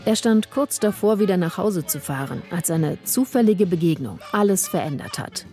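A woman narrates a news feature in a low, mellow voice. Her delivery is even and measured, and faint music plays underneath. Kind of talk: scripted narration.

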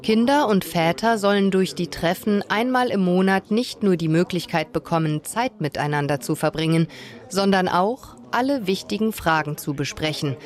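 A woman reporter reading a scripted radio reportage. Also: fairly low pitch.

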